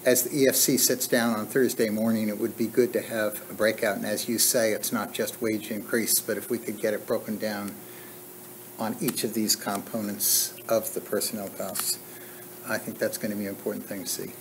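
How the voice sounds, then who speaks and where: A man's voice: fairly low pitch, level tone, moderate pace. An older man asking questions as a representative at a formal public meeting. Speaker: county representative. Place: large indoor meeting room. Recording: clear background room noise.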